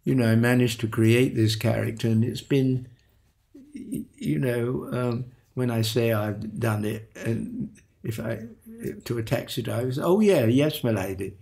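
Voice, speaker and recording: Gravelly older man, slow halting speech. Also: medium pitch. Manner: halting, repeats words, chuckles softly. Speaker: veteran voice actor guest. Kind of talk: relaxed reminiscing interview.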